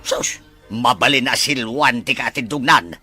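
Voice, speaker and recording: A man with an Ilocano Filipino accent, playing an adult husband in a family radio drama in a husky voice. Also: medium pitch, unhurried, sharp tone, faint music behind. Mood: teasing.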